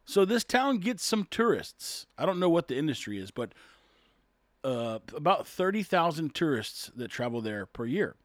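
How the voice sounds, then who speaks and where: A man's voice: a little high, big pitch swings, normal speed, no echo, studio quality. A man talking casually on his own and reading an article aloud, chuckling often, rambling and tripping over words.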